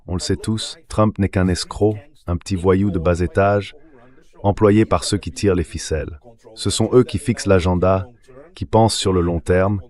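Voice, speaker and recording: A low male voice, synthetic in character, reads translated political commentary. It sounds like an AI dub of an interview guest. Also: darkish tone. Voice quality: synthetic AI dubbing voice.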